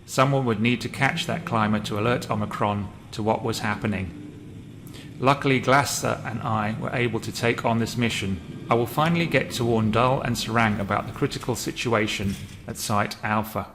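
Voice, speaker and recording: Male game narrator, low register, reading journals aloud, noisy recording. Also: normal speed. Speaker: game player narrating a role play. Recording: loud background noise.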